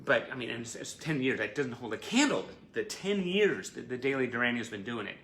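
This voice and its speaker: Guy doing a chatty fan livestream, gushy n encouraging, bright voice, roomy echo w a bit of noise. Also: mid-range voice, very animated, a little fast. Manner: effusive, gushing, encouraging. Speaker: host of a fan livestream show. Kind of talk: casual livestream chat to fans. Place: indoor room, live online stream.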